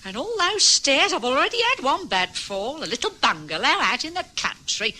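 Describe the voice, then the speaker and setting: A woman with an English accent acting a worried, gentle older wife in a radio drama, speaking in a soothing, coaxing way. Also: high-pitched, sing-song, moderate pace, exaggerated voice. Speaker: wife Emma.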